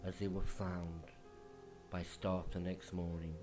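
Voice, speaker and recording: A man narrating a true ghost story in a slow, hushed, dramatic scripted delivery. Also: sits low, level tone. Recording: faint music underneath.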